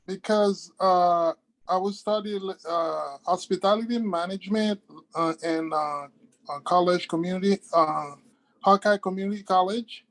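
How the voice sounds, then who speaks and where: A man's voice: sits high, a little slow, a bit bright, no echo. Hesitant Haitian-accented man on a call. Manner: hesitant, searching for words, many fillers. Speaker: webinar participant asking a question. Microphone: video call.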